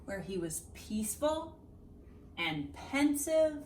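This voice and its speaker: A woman reading a children's picture book aloud expressively, with dramatic, deliberate phrasing and emphasised words. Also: mid-range voice, very animated, darkish tone, slight background noise. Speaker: read-aloud storyteller.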